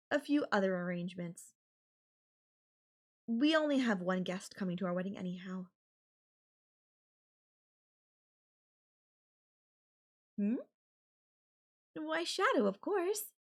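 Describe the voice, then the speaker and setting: A woman's voice: mid-range voice, big pitch swings. A woman playing a possessive, sarcastic and menacing yandere girlfriend in an audio roleplay, talking with sweet condescension. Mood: calm, sweet. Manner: slow, sarcastic, sweetly condescending with veiled threats. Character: possessive, menacing yandere girlfriend holding her partner captive. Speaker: voice actor.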